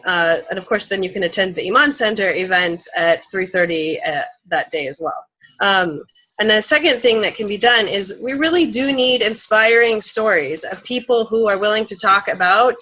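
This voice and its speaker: A woman with a bright, forward voice at a middle pitch answers as a panelist. Her delivery is conversational and informal, with "like" scattered through her phrases. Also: rough recording. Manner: informal, frequent use of "like". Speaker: panelist, community organizer.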